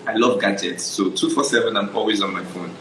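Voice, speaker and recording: A man's voice: lowish voice, fairly even intonation, fairly quick, a bit bright, echoey room, a little hiss or hum, poor audio. A young adult Nigerian man, a photographer guest, telling his story in a casual interview, often checking "do you understand?".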